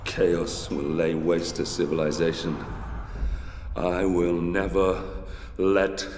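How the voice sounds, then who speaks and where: A brooding Roman soldier, gives a solemn, vengeful game monologue. His voice is extremely deep and slow, weighty with portent. It rings in a long, hall-like reverb. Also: little pitch movement, a little mellow. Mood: grim resolve. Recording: long hall reverb, faint background noise.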